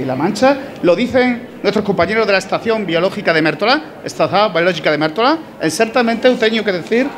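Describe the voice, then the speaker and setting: A middle-aged man giving a lively public speech at a fair opening, slipping in Portuguese words. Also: sits high. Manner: animated, rhetorical, slips into Portuguese words. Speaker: event organizer speaking at a fair opening. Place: indoor exhibition stand at a fair. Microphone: handheld mic and press mics on stands.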